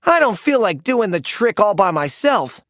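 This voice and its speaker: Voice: mid-range voice, big pitch swings, moderate pace, fairly bright tone, dry recording, studio quality, voice pushed up. A narrator voicing a friendly, showy male stunt monster truck in a children's storybook. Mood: excited.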